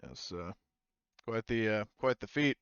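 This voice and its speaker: Casting: game master as stern grizzled male marshal, sci-fi tabletop, halting delivery, smooth voice. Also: on the low side, animated, fairly bright tone, dry recording, lo-fi sound. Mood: approving. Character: stern, scarred male frontier space marshal.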